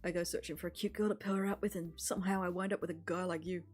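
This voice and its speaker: A woman's voice: fairly low pitch, level tone, racing, darkish tone, close to an everyday voice. A woman voicing a grumbling, indignant teenage boy in a visual novel. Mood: grudging. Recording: music behind the voice.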